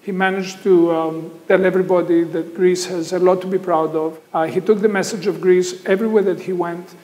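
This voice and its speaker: Male tribute speaker, high and monotone, steady praise, reverberant room. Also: a little fast. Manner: steady, praising, some hesitations. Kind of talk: tribute interview.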